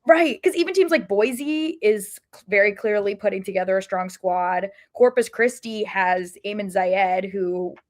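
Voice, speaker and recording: A woman's voice: medium pitch, unhurried, hardly any pauses. A woman co-hosting a podcast, talking through sports analysis casually in a thoughtful way, often correcting herself mid-sentence. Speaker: podcast co-host.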